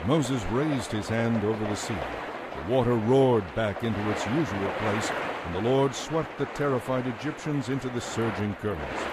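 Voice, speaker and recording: A man narrating scripture as a dramatized reading, in a measured, declarative manner. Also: fairly low pitch, heavy background noise. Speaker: Bible narrator.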